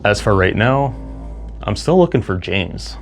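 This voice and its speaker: A man's voice: fairly low pitch, big pitch swings, a little slow, faint music behind. A man presenting a cosplay build in casual, explanatory narration. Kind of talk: casual tutorial narration with comedic skits.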